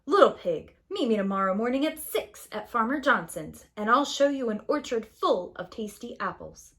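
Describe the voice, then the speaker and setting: A woman voicing an evil, angry male wolf in a children's storybook, with loud, menacing growls and roars. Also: a little high, animated, moderate pace. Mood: scheming. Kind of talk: children's storybook read-aloud.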